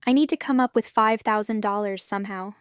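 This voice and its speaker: A woman reading English example sentences slowly and clearly for a language lesson. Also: medium pitch, no room sound, studio quality.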